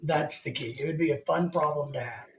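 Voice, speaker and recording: Deadpan joking man, faint background noise. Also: a little high, brisk. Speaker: group chat participant. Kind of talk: casual group chat.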